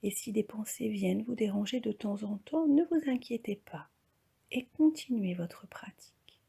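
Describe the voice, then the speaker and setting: A woman leading a guided yoga relaxation as an instructor, speaking calmly and softly, often close to a whisper. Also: medium pitch, normal speed. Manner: calm, soft, breathy, often near-whispered delivery.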